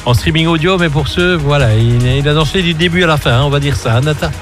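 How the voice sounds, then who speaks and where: A man's voice: fairly high pitch, fast talker, over music. Chatty man hosting live radio. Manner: chatty, laughs often, many fillers. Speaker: radio host. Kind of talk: casual live radio chat. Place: radio studio.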